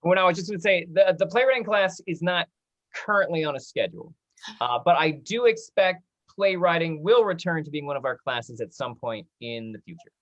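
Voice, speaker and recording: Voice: medium pitch, animated, fairly quick, dull audio. An upbeat, encouraging host casually running a theater talkback over a video call.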